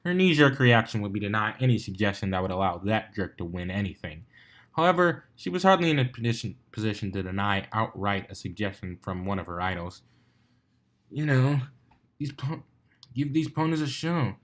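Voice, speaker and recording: A man's voice: on the low side, animated, fast, fairly bright tone. A man reading fan fiction aloud as a narrator, now and then stumbling and rereading a phrase. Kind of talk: story reading with character voices.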